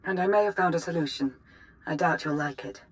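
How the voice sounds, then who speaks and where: An actress playing a stern, calculating Sith minister in a video game, speaking with clipped authority. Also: female voice, fairly low pitch, brisk, close to an everyday voice, rough recording. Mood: calculating, commanding. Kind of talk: video game cinematic acting.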